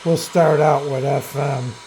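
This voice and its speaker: A man giving a casual, unscripted product review in a halting, offhand, plain-spoken way. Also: mid-range voice, fairly even intonation, a little mellow, slight background noise, rough recording.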